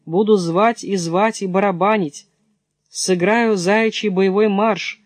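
Man playing a high-pitched, agitated, plucky hare. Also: takes it slowly, dry recording. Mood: desperate, determined. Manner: polite, agitated, apologizing even while insulting. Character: timid but brave, loyal young male hare.

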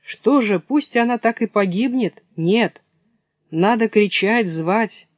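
Guy doing a brave little hare, high nervous voice, polite even when upset, storybook play, slow and dry. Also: no room sound. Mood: desperate, determined. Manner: polite, agitated, apologizing even while insulting. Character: timid but brave, loyal young male hare.

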